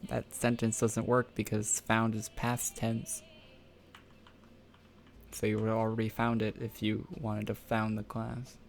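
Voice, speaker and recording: Gravelly-voiced young man streaming. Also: on the low side, monotone, a little slow, a little hiss or hum. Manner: laid-back, rambling, laughs often. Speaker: game streamer. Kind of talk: casual stream chat reading viewer questions.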